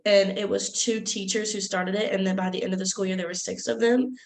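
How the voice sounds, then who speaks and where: A woman's voice: medium pitch, steady tone, quick pace, vocal fry. A young woman student sharing a story in a class discussion, casual and loose with her phrasing. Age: young adult. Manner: casual, uses "like" and "I guess you could say".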